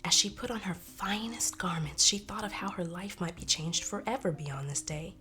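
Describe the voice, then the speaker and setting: A woman's voice: fairly low pitch, lively intonation, moderate pace. A woman narrating a folktale expressively, as in a storybook read-aloud. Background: soft music.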